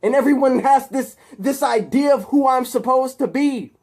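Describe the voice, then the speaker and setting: A man's voice: high-pitched, sing-song, takes it slowly, a little mellow, pitched above the performer's own voice, heavily acted. A man voicing an unhinged young rich heir turned villain, speaking intensely in emphatic, ranting phrases. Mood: frantic, agitated.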